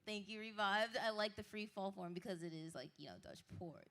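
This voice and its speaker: A woman hosting a live concert stage, interviewing a guest and giving shout-outs in a chatty, upbeat way full of fillers and apologies. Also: medium pitch, fairly quick. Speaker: host. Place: live stage at an indoor market venue. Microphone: handheld stage mic.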